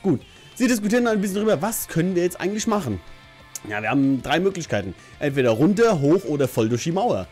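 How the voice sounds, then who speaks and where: A middle-aged man with a fairly high voice talks through a story recap like a vlogger at his desk. His delivery is colloquial and chatty, with frequent hesitations as he goes. Music plays faintly behind him. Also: sing-song, quick pace, darkish tone. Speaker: host of an anime review channel. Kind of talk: casual spoken episode recap to camera. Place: small home office room. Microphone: desk condenser microphone on a stand.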